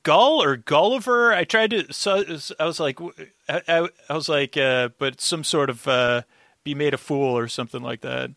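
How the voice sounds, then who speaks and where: Bright, rambling male podcast guest. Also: fairly high pitch, creaky, no echo, clean studio sound. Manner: rambling, halting, self-interrupting. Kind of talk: casual recap podcast chat. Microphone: close, dry podcast microphone.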